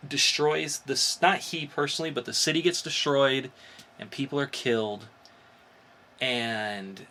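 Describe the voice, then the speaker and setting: Guy doing a geeky podcast, bright punchy voice, explains stuff casually, bit of background noise. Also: medium pitch. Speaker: podcast host. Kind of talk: casual geek news chat.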